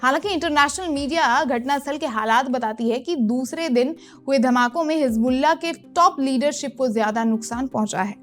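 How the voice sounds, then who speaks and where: A woman's voice: high voice, moderate pace, few pauses. A woman presenting a scripted news explainer in the manner of a narrated report. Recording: music bed under the voice. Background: background music.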